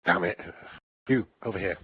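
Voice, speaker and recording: Man playing a gruff, deep, raspy alien soldier. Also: normal speed, sharp tone, no room sound, full character voice, poor audio. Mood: gruff, urgent. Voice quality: gravelly, raspy. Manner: gruff, terse, wry. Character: gruff, battle-hardened male alien soldier, wry mentor. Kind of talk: fan audio drama, game-story character acting.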